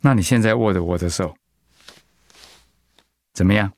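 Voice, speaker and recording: A man's voice: deep voice, moderate pace, dry recording. Radio drama friend: supportive, concerned man, dry studio recording. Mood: worried. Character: concerned, steady male friend of a patient.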